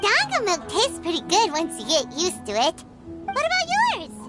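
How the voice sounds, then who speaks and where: A woman voicing a small, childlike, excitable fairy companion in a video game, with a blunt, incredulous manner. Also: extremely high pitch, moderate pace, loud music behind the voice, exaggerated voice. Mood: cheerful, chatty. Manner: blunt, incredulous, speaks of herself in third person. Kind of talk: video game character acting.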